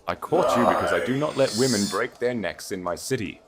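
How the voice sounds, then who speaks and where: Man voicing a stern, pitiless duke, low-pitched. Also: expressive, brisk. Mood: harsh, commanding. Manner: curt, commanding, hard. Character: stern, pitiless armored duke, commander of a fortress. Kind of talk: dramatic period-romance audiobook dialogue. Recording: voice over loud music.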